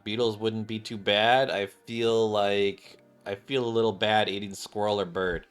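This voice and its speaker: A game streamer talking casually to viewers. The delivery rambles and jokes along in a mid-pitched voice. Soft music sits underneath. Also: little pitch movement, measured pace, clear, resonant tone. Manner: rambling, joking. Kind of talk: casual live commentary and story reading.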